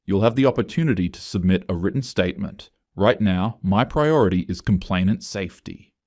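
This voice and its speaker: A man acts out a cold, self-assured woman from human resources in an office story. The voice is low and dry, with clipped, officious delivery. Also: a little fast, a little mellow, no room sound. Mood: cold, official.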